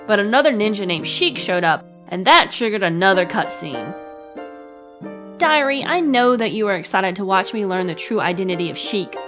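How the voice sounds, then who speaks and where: A young adult woman delivering scripted comedic narration like a diary entry, with a sarcastic, crude and emphatic manner. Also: medium pitch. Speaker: host of a comedic gaming web series.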